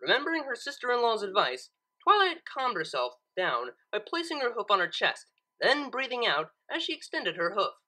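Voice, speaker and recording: A woman's voice: on the high side, big pitch swings, moderate pace, hardly any pauses, bright, forceful tone, rough recording. A woman reading fan fiction aloud as narrator, in a storybook style.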